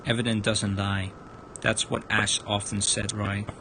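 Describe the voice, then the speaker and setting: A man's voice: fairly low pitch, little pitch movement, unhurried, background noise. A man livestreaming a visual novel, reading a horror story aloud as dramatic narration and stumbling over words now and then. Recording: loud music over the voice. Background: loud music.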